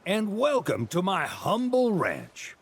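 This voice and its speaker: A folksy old Southern American rancher for a video game. His voice is high and gravelly, swinging up and down as he lays on chipper salesman patter. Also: wildly swooping pitch, slow, slight background noise, full character voice. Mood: hospitable, chatty. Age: older. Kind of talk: video game cutscene acting.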